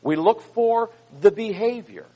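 A man preaching a sermon, reading scripture with loud emphasis and dropping into quiet asides. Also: high-pitched, big pitch swings, unhurried.

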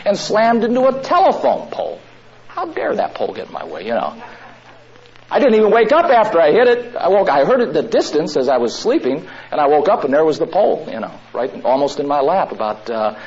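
A man preaching a sermon to a room of listeners, drawing out his words with long pauses. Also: a little high. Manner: slow, drawn-out phrasing with long pauses. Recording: dull lo-fi recording.

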